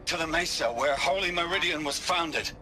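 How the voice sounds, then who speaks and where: An actor playing a zealous, self-righteous sun-priest in a video game, speaking in a grandiose, reverent, declamatory manner. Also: male voice, fairly high pitch, normal speed, heavy background noise, close to an everyday voice. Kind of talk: video game fantasy acting.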